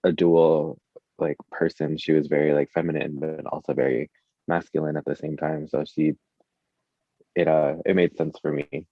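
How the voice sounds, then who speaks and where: Low-voiced man, dry lo-fi recording. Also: level tone, moderate pace, no room sound. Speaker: musician being interviewed. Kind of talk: casual interview chat. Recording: dull lo-fi, dry close sound.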